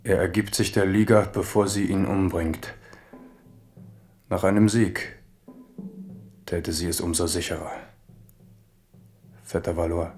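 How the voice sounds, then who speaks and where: A man's voice: low-pitched, darkish tone. An actor in a German radio play, playing a grave warrior king in his thirties in a brooding monologue. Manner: reflective monologue.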